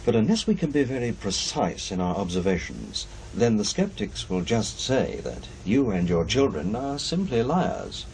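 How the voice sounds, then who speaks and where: An actor playing a polite, earnest professor in a naturalistic radio drama, speaking in a measured, courteous and formal way. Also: male voice, on the low side, sing-song, a little mellow, slight background noise, low-quality recording. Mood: earnest explaining. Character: polite, earnest male professor investigating strange happenings.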